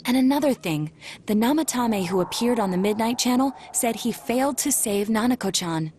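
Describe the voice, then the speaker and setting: A woman's voice: a little high, expressive, normal speed, a little mellow, slight background noise, close to an everyday voice. A woman voicing a serious, analytical young detective in a video game dub, speaking formally and precisely. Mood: pondering.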